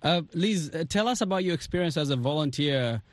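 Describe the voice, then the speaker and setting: A man hosting a live radio interview from a studio, phrasing his questions haltingly with repeated words. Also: on the high side, animated. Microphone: studio microphone.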